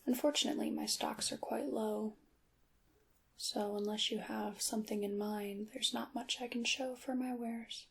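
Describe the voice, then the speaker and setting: A woman voicing a mysterious, centuries-old witch shopkeeper in an ASMR roleplay, soft-spoken and breathy, often slipping into whispers, with courteous old-fashioned phrasing and a coy, wry manner. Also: fairly high pitch, fairly even intonation, normal speed, slight background noise. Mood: guarded, coy.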